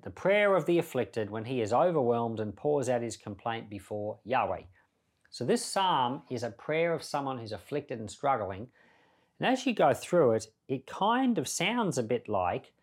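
A man's voice: medium pitch, a little mellow. A man speaking as a pastor, reading and explaining scripture in an earnest, conversational, explanatory way. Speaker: pastor and Bible podcast host. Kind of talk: scripture reading and informal Bible commentary.

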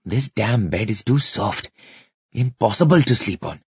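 Guy reading as rugged male tribe chief, calm but commanding, husky, storybook hero. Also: on the low side, a little slow, dry recording, highs cut off, full character voice. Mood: irritated.

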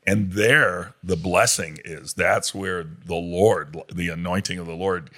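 Deep raspy guy, pastor on a podcast, kinda rambly, lots of ums. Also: fairly even intonation, takes it slowly, bright, forceful tone. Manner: rambling, with many fillers and restarts. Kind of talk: casual podcast discussion. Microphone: podcast microphone.

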